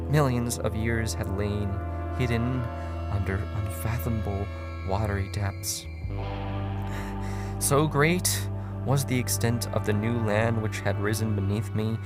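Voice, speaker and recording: A man giving a dramatic horror story narration in a brooding, halting way with pauses. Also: fairly low pitch. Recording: music under the voice. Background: music.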